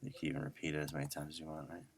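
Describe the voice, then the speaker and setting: A man's voice: a bass voice, little pitch movement, racing. A man giving a casual audio editing tutorial, informally muttering to himself as he works. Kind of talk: casual screen-recorded software tutorial.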